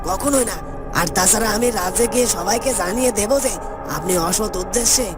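A woman's voice: medium pitch, fairly quick, close to an everyday voice. A woman voicing a loyal male palace guard in a fairytale cartoon, formal and earnest. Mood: defiant. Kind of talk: dramatic cartoon voice acting. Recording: loud music bed. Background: music.

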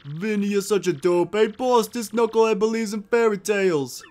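A man's voice: high-pitched, moderate pace, a little mellow, pitched above the performer's own voice, lightly acted. A man voicing a brash, dim gangster henchman in a video game, using mobster slang. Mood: brash, jeering. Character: dim, eager male gangster henchman cartoon creature. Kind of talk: video game character dub.

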